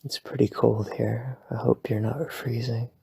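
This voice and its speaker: A man whispering softly at night in a rambling, playful, self-deprecating sleep-aid roleplay. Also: mid-range voice, level tone, a little slow, dry recording. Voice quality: breathy whisper. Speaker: ASMR boyfriend roleplay creator. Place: home at night.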